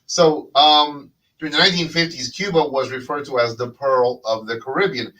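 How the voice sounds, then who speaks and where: A man's voice: medium pitch, steady tone, moderate pace, sharp tone, distant mic, rough recording. A man hosting a casual chat, talking in a rambling way with many fillers and restarts. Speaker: podcast host.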